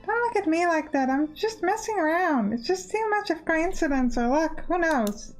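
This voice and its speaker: A woman's voice: very high voice, lively intonation, normal speed, soft background music, pitched above the performer's own voice. A woman voicing a teasing, bossy girl who guides a newcomer in a visual novel.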